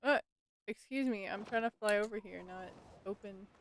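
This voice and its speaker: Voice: mid-range voice, normal speed, a little hiss or hum. A game streamer giving casual live commentary, informal and exclamatory, reacting aloud to the game.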